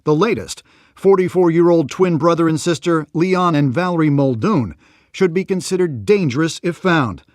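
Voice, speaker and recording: Casting: male actor as game news anchor, higher-pitched, forceful, polished bulletin read, dry studio sound. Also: normal speed, no room sound, close to an everyday voice. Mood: brisk, serious. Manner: polished, emphatic newsreader delivery. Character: brisk male city news anchor reporting crime. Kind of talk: scripted news broadcast.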